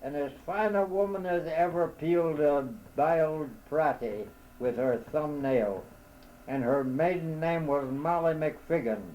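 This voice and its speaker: A lost Irishman with a thick brogue shouts up into the dark, high-pitched and strained, as a man reads him aloud. He turns from polite pleading to bluster, words tumbling out over each other. It is broad storybook character work. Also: a little slow, a little hiss or hum, lightly acted, poor audio. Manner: loud, exasperated brogue shouting. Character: flustered Irish immigrant man lost in woods.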